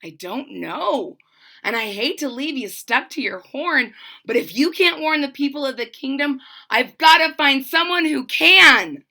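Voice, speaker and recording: A woman's voice: high voice, animated, measured pace, bright, forceful tone, voice pushed up, exaggerated voice. A woman voicing an earnest young page boy in a children's storybook, pleading and gasping with urgency. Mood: regretful, urgent. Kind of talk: children's storybook read-aloud.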